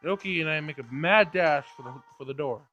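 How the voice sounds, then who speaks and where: A man's voice: on the high side, brisk, fairly bright tone, highs cut off. A man reading visual novel narration aloud as he plays, stumbling over words now and then. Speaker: gameplay streamer reading aloud. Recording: game music behind. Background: game music.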